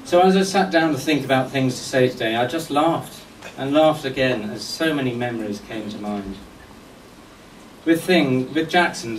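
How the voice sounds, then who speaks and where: Echoey, distant man giving a wry tribute. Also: medium pitch. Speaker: speaker giving a memorial tribute. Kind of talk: eulogy speech with humorous anecdotes. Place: indoor function venue at a golf club, before an audience. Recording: echoey room, distant mic, faint noise.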